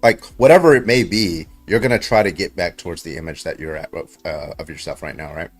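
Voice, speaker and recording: A man's voice: deep voice, brisk. A man in a heated online debate, pressing his opponent with repeated questions and rhetorical tags.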